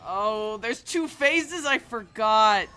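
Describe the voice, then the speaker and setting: A woman streaming a video game, giving expressive, exasperated live commentary on a close mic. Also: fairly high pitch, slow, smooth, faint music behind.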